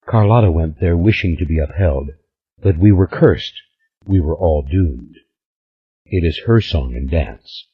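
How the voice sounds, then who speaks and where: A man's voice: sits low, expressive, measured pace, slightly rough, heavily acted. A man voicing a blunt, stern middle-aged woman who scolds her old father in an audiobook. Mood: scolding. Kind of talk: audiobook character voice. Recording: slightly dull lo-fi recording.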